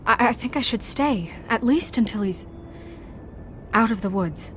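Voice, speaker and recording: A woman playing a stern but caring leader in a video game, reserved and proper, with measured, careful delivery. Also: fairly high pitch, expressive, a bit bright, slight background noise. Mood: concerned.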